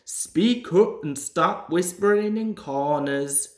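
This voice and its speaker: A woman's voice: fairly low pitch, takes it slowly, a little mellow. A woman voicing a grumpy, boastful male little steam engine in a storybook, blunt and snorting, in an echoey room. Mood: gruff, indignant. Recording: roomy, echoing home recording.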